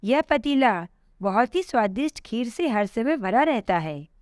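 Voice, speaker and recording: A woman's voice: a little high, lively intonation, unhurried, no room sound, clean studio sound. A woman voicing a miserable, starving man in hell, despairing and complaining in a storybook tale. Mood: dejected.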